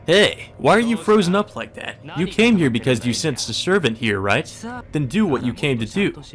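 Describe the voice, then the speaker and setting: A sneering young mage villain, pitched very high and shrill. He swoops about scornfully, taunting like a spoiled anime antagonist in a visual novel. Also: very animated, moderate pace, fairly bright tone, faint music behind. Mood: mocking, scornful. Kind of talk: visual novel character dub.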